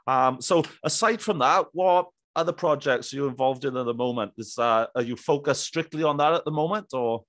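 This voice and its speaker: A man's voice: medium pitch, fairly quick, few pauses, a bit bright. A British man in his thirties hosting a podcast, chatting casually in an enthusiastic, matey way. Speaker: podcast host. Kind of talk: casual interview chat. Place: small room, indoors. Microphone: boom-arm studio microphone and headphones.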